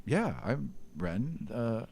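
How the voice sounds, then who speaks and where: A man's voice: mid-range voice, sing-song, drawn-out words, creaky, darkish tone, exaggerated voice. A man voicing a nervous young soldier in a tabletop game, loyal but hesitant and repeating himself. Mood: nervous, dutiful.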